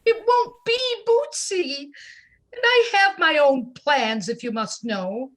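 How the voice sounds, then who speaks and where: Casting: woman as defensive, stubborn mom of an adult son; high, animated voice, indignant delivery, stage reading. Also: slow, heavily acted, low-quality recording. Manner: indignant, exclamatory. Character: stubborn, defensive mother of a grown son, knitting cat lover. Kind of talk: live play reading over video call. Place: indoors, on a video call.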